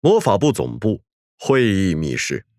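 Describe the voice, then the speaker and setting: Guy narrating a story dramatically, lowish, swings a ton, dry sound w music under. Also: wildly swooping pitch, a little slow, darkish tone, no echo.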